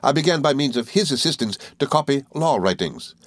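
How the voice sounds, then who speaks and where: A man voicing a cheerful, good-natured young gentleman law student in an audiobook, eager and flustered, with little stammering hesitations. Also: medium pitch, expressive, brisk, dry recording, voice pushed up, natural voice. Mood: chatty, confident. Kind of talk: audiobook character dialogue.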